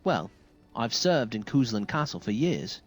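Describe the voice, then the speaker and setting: A man's voice: mid-range voice, very animated, measured pace. An actor voicing a proud, dutiful young male squire in a fantasy game, speaking formally and earnestly.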